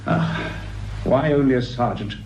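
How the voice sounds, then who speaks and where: An actor playing an imperious, curt research director in a British radio crime drama, with an English accent and a pompous, condescending manner. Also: male voice, mid-range voice, a little slow, lightly acted. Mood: brusque, officious. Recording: roomy, muffled, slightly noisy radio recording.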